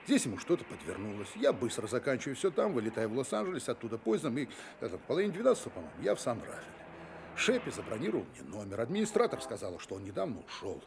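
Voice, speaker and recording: A man's voice: medium pitch, huge pitch swings, rapid-fire. An actor playing an informal private detective in a radio drama, speaking in clipped, conversational phrases as he thinks aloud. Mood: matter-of-fact, clipped. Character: male private detective, informal, speculative, shaken but composed. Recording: noisy old radio drama recording.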